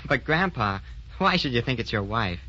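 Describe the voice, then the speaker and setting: An actor playing a brash, cheerful young grandson in a sentimental radio drama. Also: male voice, mid-range voice, sing-song, moderate pace, sharp tone. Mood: breezy, curious. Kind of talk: sentimental old-fashioned radio drama acting. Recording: muffled old radio recording with clear music.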